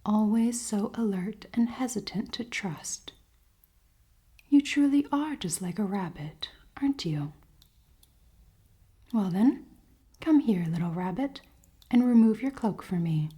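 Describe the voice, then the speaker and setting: Woman playing a slow, breathy, haughty elven queen. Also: mid-range voice, expressive. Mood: teasing, commanding. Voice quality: soft, breathy, often whispering. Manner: slow, formal, commanding, teasing. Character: cold-hearted, dominant elven queen, haughty, secretly caring. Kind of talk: ASMR fantasy roleplay.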